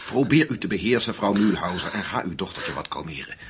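An actor playing a composed, shrewd American CIA agent in a radio drama, measured, polite and probing. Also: male voice, low voice, lively intonation, noisy background. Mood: calm, persuasive. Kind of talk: Dutch radio drama acting.

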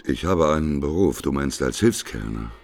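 A man's voice: very deep voice, expressive, moderate pace, creaky, exaggerated voice. A man playing a weary, grumbling prophet in a radio play, answering with grudging, sardonic retorts. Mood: dry, grumbling. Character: weary, grumbling male prophet, reluctant and argumentative.

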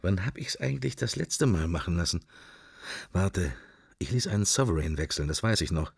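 A man's voice: sits low, lively intonation, moderate pace, vocal fry, slightly rough, dry recording, clean studio sound, full character voice. An actor playing a middle-aged man musing wryly to himself in clipped, wandering thoughts in a literary radio play. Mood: irritated, distracted.

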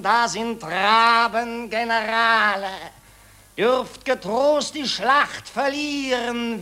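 A gloating carrion raven in a radio play. The voice is high and piercingly bright, stretching each rhymed line very slowly with smug, declamatory relish. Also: male voice, heavily acted. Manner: drawn-out, declamatory. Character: carrion ravens, smugly gloating war profiteers. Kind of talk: rhymed verse radio drama.

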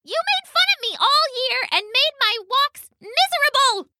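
A woman voicing a small, indignant male mouse in a children's story, with a thin, squeaky, protesting voice. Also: animated, moderate pace, very noisy. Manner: protesting, shrill.

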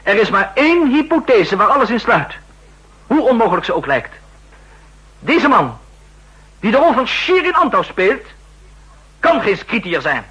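An ambitious young assistant with a high, bright voice that swings widely in pitch and has a breathy edge. He argues earnestly and insistently, in the manner of 1960s radio drama. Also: sing-song, moderate pace, long pauses, full character voice, low-quality recording. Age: young adult.

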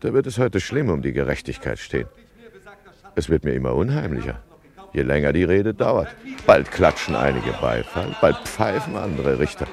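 Very deep, gravelly, worried town judge. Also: male voice, very animated, moderate pace, full character voice. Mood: uneasy bafflement. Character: worried, peace-loving male town judge, complacent and plaintive. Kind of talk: German radio play monologue.

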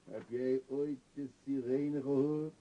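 Dreamy drifter in a radio drama, warm husky voice, kinda poetic, muffled old recording. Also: male voice, mid-range voice, little pitch movement, takes it slowly. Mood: dreamy, musing. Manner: lyrical, musing, rhetorical questions. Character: dreamy, free-spirited vagabond who scorns civilised life. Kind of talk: Dutch radio play acting.

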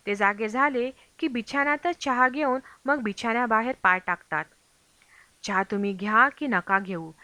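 A woman's voice: mid-range voice, fairly bright tone, dry recording. A woman reading a travel memoir aloud as scripted audiobook narration. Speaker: audiobook reader.

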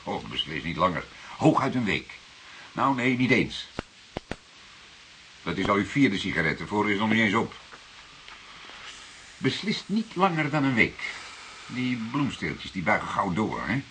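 An actor playing a shrewd older police detective in a Dutch radio drama, questioning deliberately with an insinuating irony. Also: male voice, fairly low pitch, very animated, bright, forceful tone, exaggerated voice, low-quality recording. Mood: slyly admiring. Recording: hissy radio play recording.

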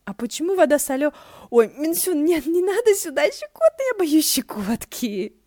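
A woman's voice: high-pitched, big pitch swings, normal speed, a little mellow, no echo, studio quality, voice pushed up. A woman voicing a playful, chatty young male wind deity in a fan-fiction audiobook, gushing and full of eager questions. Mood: giddy, teasing. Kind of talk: audiobook character voice.

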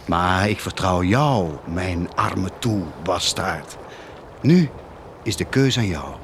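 An actor playing a bitter priest turned traitor in a radio drama, speaking in a grave, measured, accusing manner. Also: male voice, low voice, animated, slight background noise, heavily acted. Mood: resigned, bitter. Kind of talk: theatrical radio drama acting.

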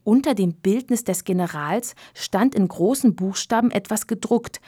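A woman's voice: medium pitch, moderate pace, dark tone, breathy edge, no room sound, studio quality, close to an everyday voice. A woman narrating a scripted children's audio drama as its storyteller. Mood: storytelling. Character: storyteller of a children's ghost tale.

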